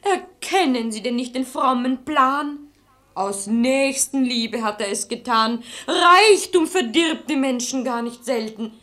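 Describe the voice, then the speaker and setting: An actress playing a mocking, quick-witted woman of the household in a verse radio play, with a sarcastic manner. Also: high-pitched, animated, a bit bright, full character voice.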